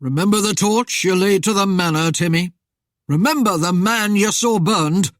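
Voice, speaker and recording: A woman voicing a menacing male rider posing as vengeful Death, with an eerie, droning, booming voice and slow, archaic speech. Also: dry recording, studio quality, close to an everyday voice. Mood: grim, vengeful.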